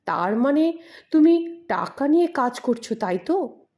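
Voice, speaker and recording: A woman's voice: high-pitched, very animated, measured pace, a little mellow. A woman voicing a composed, firm male hero who questions politely in an audio mystery story. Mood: probing, confident.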